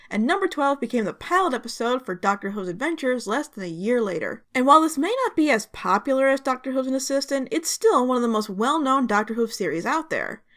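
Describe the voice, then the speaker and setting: A woman's voice: high voice, fairly bright tone. A woman hosting a review channel, giving scripted narration in a conversational, enthusiastic fan's manner.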